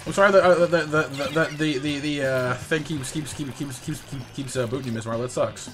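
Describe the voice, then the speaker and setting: A young man with a slightly raspy voice from a recent cold, hosting a casual live game stream with viewers and often stammering over repeated words. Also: mid-range voice, fairly quick, over music. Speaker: livestream gaming host.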